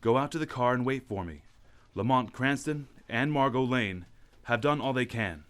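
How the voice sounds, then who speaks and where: Guy doing a cool-headed gentleman detective in a radio play, steady and in charge, mid voice. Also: mid-range voice, lightly acted. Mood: resolute. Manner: measured, commanding, determined. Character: Lamont Cranston, calm crime-fighting gentleman sleuth. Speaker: radio actor. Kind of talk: old-time radio drama acting.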